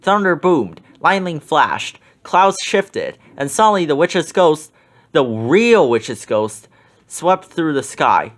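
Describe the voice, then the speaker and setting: A man narrating a children's storybook aloud with animated, expressive storytelling. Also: a little high, takes it slowly. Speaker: children's book reader.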